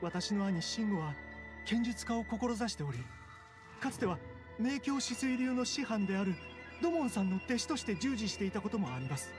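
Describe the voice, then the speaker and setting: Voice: mid-range voice, big pitch swings, moderate pace, over music, natural voice. An actor voicing an earnest, anxious townsman, a younger brother who pleads formally and very politely, in a Japanese anime game. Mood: solemn.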